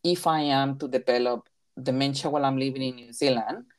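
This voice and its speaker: A woman with a Mexican accent and a strikingly low, deep voice. She grew up speaking Spanish, and she answers interview questions as a therapy professional. She is emphatic and circles back to repeat her key points. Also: brisk. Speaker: recreation therapy leader.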